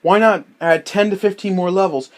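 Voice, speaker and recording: Young guy, a little high-voiced, sick, recording on a handheld device; halting, rambling delivery with lots of fillers. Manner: halting, many fillers and self-corrections. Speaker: gaming vlogger. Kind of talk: rambling unscripted monologue. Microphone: handheld device mic.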